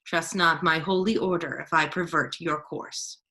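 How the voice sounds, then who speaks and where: Woman as a scheming duke dressed as a friar, lowish for a woman, bright n forceful, stagey shakespeare verse. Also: steady tone, moderate pace. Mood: instructing. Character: scheming duke disguised as a holy friar, male, authoritative.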